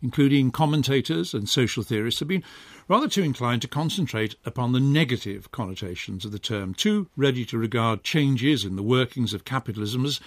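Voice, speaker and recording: A man presenting a talk radio programme and interviewing a guest in a measured, articulate way. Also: medium pitch, no room sound. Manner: measured, articulate, lively intonation. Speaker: radio host. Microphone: studio microphone.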